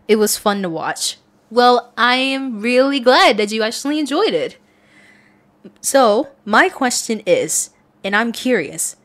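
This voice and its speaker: Chatty, earnest woman answering listener questions. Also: fairly high pitch. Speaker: audio content creator.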